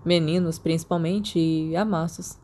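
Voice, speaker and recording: A woman voicing a reserved, awkward, bookish teenage schoolboy in a hesitant, low-key manner for an audiobook. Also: fairly even intonation, a little slow, mellow, slight background noise. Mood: awkward, dry.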